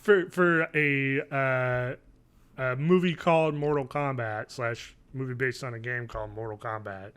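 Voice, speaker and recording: A man's voice: mid-range voice, unhurried, fairly bright tone, clean tone. A young man co-hosting a podcast in casual banter, with slangy, sweary talk. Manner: profane, slangy. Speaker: podcast co-host. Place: living space at home, indoors. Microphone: desk microphone, on video call.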